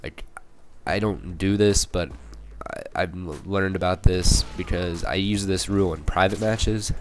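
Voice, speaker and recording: Low flat raspy young man. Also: unhurried, noisy background. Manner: rambling, many fillers and restarts. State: raspy from a cold. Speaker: gaming channel commentator. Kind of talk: casual voice-over commentary over gameplay.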